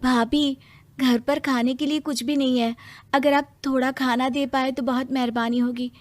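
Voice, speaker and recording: Woman acting high, meek, pleading poor wife. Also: level tone, moderate pace, lightly acted. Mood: pleading, humble. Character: poor, humble, pleading young wife Shanti. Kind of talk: animated moral story character voice.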